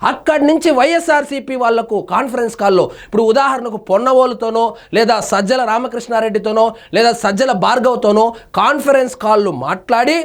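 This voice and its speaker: A man presents news analysis. He moves between reading reports and offering pointed commentary. His delivery is emphatic and indignant, leaning on rhetorical questions. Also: very high-pitched.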